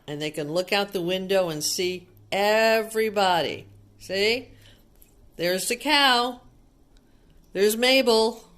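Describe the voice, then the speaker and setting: A woman's voice: medium pitch, fairly bright tone. A woman reading a picture book aloud to young children like a teacher, explaining things simply and asking them questions.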